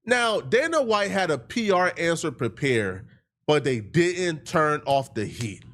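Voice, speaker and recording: A man with a fairly high voice delivering opinionated video commentary. His pitch swings widely, and he is emphatic and indignant, leaning on slang and repeating phrases to drive points home. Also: very animated, measured pace, fairly bright tone.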